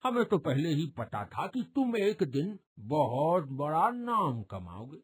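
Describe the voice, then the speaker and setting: A man voicing a fawning, two-faced middle-aged neighbour in a cartoon, drawling and ingratiating in a husky voice. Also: fairly high pitch, very animated, slow pace, exaggerated voice. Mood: flattering.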